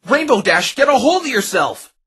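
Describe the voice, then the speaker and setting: Male actor as earnest young lawyer, hesitant, exasperated, lively intonation, slightly rough voice, music behind, cartoon fan dub. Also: very high voice, normal speed, exaggerated voice. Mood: agitated, pleading. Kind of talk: fan dub visual novel acting.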